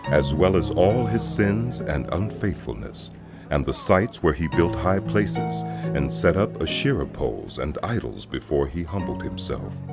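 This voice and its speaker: A man narrating scripture for a dramatized audio production in a solemn, measured storytelling manner. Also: rumbling low, sing-song. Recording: loud music behind the voice. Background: loud music.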